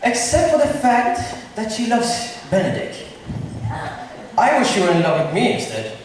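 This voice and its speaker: A man's voice: very high voice, very animated, moderate pace. A man in an amateur school play, playing a nobleman slyly plotting a matchmaking trick. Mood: scheming. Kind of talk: amateur school stage acting. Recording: distant mic in echoey hall, noisy.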